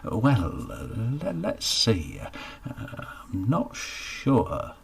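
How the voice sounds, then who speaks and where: A man's voice: sits low, huge pitch swings, extremely slow, vocal fry, breathy edge, heavily acted. A man voicing a scruffy, sheepish jobless man of about fifty, who mumbles and stammers. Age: 50s.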